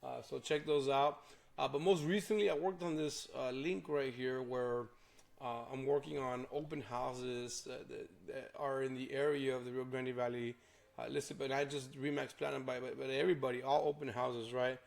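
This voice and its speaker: A hoarse young man, a realtor chatting loosely through a casual vlog walkthrough with lots of fillers. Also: medium pitch, fairly even intonation, moderate pace. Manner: rambling, filler-heavy. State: hoarse from a cold.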